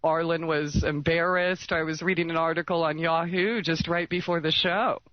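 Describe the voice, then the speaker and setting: A woman's voice: sits low, fairly even intonation, moderate pace. A woman talking insistently in an unscripted radio discussion, rambling and piling up lists. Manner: insistent, rambling, list-making. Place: call to an internet talk show. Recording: dull lo-fi internet radio call audio.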